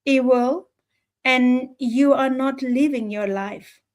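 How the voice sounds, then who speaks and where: Woman, Nepali accent, slightly high voice, earnest, emphatic, halting, repeats phrases, informal motivational livestream talk. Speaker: livestream speaker.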